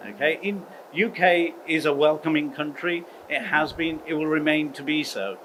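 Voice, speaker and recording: A middle-aged man from the UK, a university head of engineering, giving a measured formal address to students. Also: on the high side, fairly bright tone, slight background noise, poor audio. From United Kingdom. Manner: measured, uses "okay?" as a check-in.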